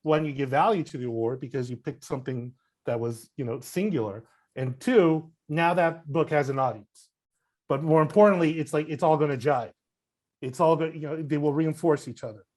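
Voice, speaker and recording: A man speaking as a guest in a casual panel discussion, halting and emphatic as he circles toward his point. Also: a little high, darkish tone. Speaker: podcast guest, critic and editor.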